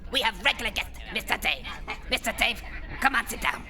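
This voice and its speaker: A woman's voice: a little high, animated, a little fast, sharp tone. A woman playing a blunt creature talk show host with claws, speaking clipped broken English in a comedy audio drama, with music behind. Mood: commanding.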